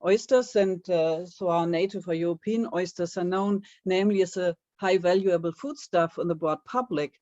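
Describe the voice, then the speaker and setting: A woman giving a webinar presentation, measured and slightly halting, over a video call. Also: on the low side, fairly even intonation, darkish tone, dull audio.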